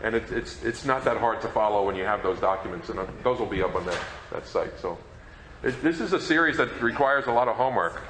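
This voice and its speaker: Guy with a fairly low voice doing an informal talk, lots of room echo, bit of noise. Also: expressive, fast, a bit bright. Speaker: panel speaker and program collector. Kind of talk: informal conference presentation. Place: roomy indoor conference presentation space. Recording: echoey room, faint noise.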